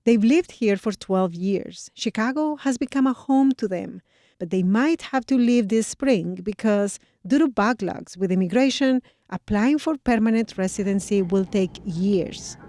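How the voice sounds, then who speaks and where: A woman news reporter narrating a scripted radio feature in a measured, clear way. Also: mid-range voice, expressive, warm tone, dry recording, studio quality.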